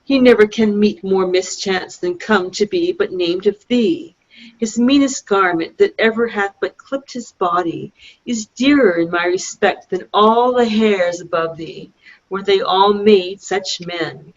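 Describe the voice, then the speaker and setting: A woman's voice: mid-range voice, close to an everyday voice. Muffled call audio, woman playing a proud Shakespearean princess. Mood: indignant. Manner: measured, formal verse with pointed emphasis. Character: proud young princess, sharp-tongued, loyal, coolly scornful. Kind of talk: amateur Shakespeare play reading. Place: remote online video-call reading. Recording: dull lo-fi video-call audio.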